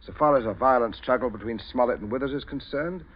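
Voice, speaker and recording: A man's voice: mid-range voice, quick pace, close to an everyday voice. An actor playing a stern, pompous, calculating middle-aged English father in a clipped, condescending 1940s radio style. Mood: irritated. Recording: muffled old radio recording.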